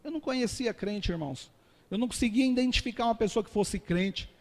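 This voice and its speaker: A man's voice: high voice, fast, darkish tone. A man with a Brazilian Portuguese accent, a pastor giving an earnest testimony sermon and calling his listeners dear ones. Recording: long hall reverb.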